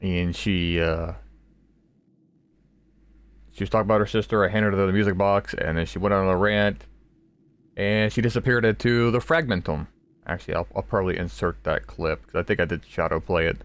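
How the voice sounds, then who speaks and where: A man giving casual, rambling let's play commentary, halting as he goes and swearing casually. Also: sits low, clean tone. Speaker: gaming video creator.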